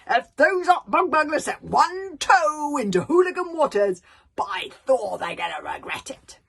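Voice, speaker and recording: A woman voicing a hot-tempered male Viking chief who roars and shouts his orders. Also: low voice, little pitch movement, normal speed, gravelly, breathy edge, voice pushed down, exaggerated voice. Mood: enraged. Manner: roaring, shouting.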